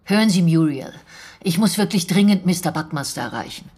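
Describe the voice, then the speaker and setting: An actress in a German radio drama playing a determined intelligence officer, husky, brisk and businesslike as she presses her point. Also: fairly low pitch, animated, a little mellow, a little hiss or hum, lightly acted. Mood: urgent, insistent. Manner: insistent, businesslike.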